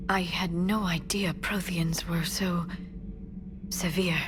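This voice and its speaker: A woman's voice: lowish voice, steady tone, slow pace, background noise. An actress playing a polite, earnest female alien scholar who speaks with careful curiosity in a video game. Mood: taken aback. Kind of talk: dramatic video game acting. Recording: music behind the voice.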